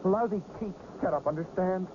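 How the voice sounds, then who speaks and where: A man plays an intense, bitter carnival fortune-teller in an eighties radio drama. His voice sits fairly high and comes out impatient, sometimes stammering. The recording is dull, with clear noise around him. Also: fairly quick, natural voice. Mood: bitter, angry. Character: excitable, bitter carnival fortune-teller named Benny, craving real visions. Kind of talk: 1980s radio drama acting.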